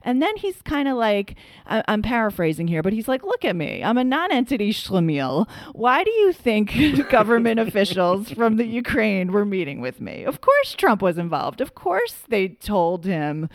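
A woman's voice: mid-range voice, sing-song, normal speed, hardly any pauses, no echo. A woman co-hosting a podcast, chatting casually about political news in an emphatic, incredulous, colloquial way. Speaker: podcast co-host.

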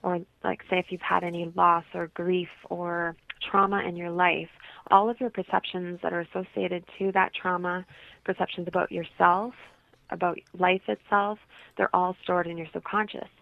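A woman's voice: fairly low pitch, flat delivery, normal speed, vocal fry, no room sound. A woman explaining things informally as a guest in a radio interview, heard in dull lo-fi audio.

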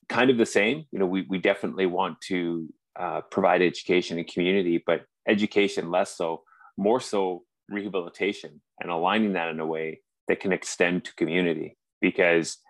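A Canadian man in his forties with a very low voice, chatting over a remote call. He talks earnestly, stopping and restarting his phrases often. The sound is dry and close. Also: no room sound. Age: 40s. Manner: earnest, halting with frequent restarts. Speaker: podcast host. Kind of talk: casual conversation.

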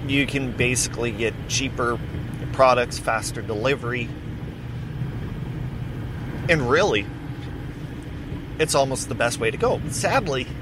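A man hosting a casual solo podcast, rambling and correcting himself as he chats. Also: medium pitch. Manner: rambling, self-correcting, chatty. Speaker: podcast host. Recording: steady background noise.

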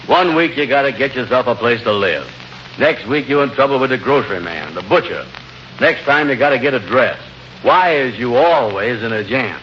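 An actor playing a gullible, slow-witted friend in a 1940s radio comedy, asking naive questions in folksy grammar. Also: male voice, mid-range voice, lively intonation, close to an everyday voice. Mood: doubtful. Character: gullible, slow-witted male friend, easily talked round. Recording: muffled, noisy old radio broadcast recording.

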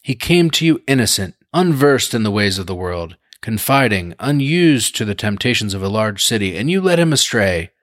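A man's voice: medium pitch, lively intonation, moderate pace, no echo, clean studio sound, voice pushed up, natural voice. A man reading aloud, voicing a massive, imperious older lady of the aristocracy who speaks in a frosty, clipped, accusing way. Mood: indignant.

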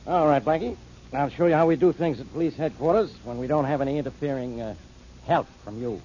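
A man playing a gruff police inspector. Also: on the high side, a little fast, dark tone, slight background noise, lightly acted. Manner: gruff, dismissive. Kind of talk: 1940s radio acting. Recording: muffled old radio broadcast.

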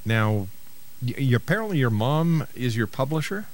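A man's voice: medium pitch, big pitch swings, moderate pace. A middle-aged man hosting a radio interview, introducing and questioning his guest in an avuncular way. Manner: avuncular, uses pet names for the guest. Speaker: radio talk show host and interviewer.

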